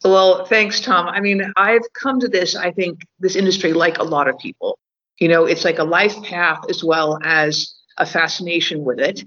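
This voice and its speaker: A woman's voice: fairly low pitch, normal speed, a bit bright. A woman in her fifties with an American accent talks casually through a slightly dull, lo-fi line. She rambles and thinks aloud, padding her talk with fillers. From United States. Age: 50s. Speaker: guest, cannabis journalist.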